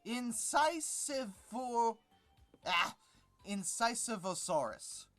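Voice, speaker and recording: Mid-pitched voice, reaction commentary, sardonic, exasperated, repeats for emphasis, faint music in background. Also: animated, extremely slow, a bit bright. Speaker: YouTube host. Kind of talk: reaction commentary, reading an article and critiquing it.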